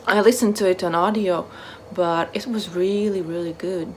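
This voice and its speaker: A woman book vlogger chatting casually to camera in short, halting phrases that trail off softly. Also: on the low side, fairly even intonation, slight background noise. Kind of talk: casual chat to camera, answering a book tag.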